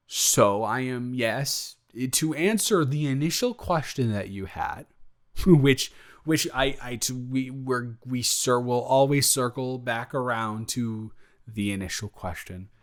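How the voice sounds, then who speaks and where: A man acts out a smitten young male roommate in a cozy romantic audio roleplay. His mid-pitched voice moves at an unhurried, slow pace. He rambles casually, cuts himself off and answers his own questions, sheepish yet playfully defensive. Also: lightly acted. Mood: bashful, teasing.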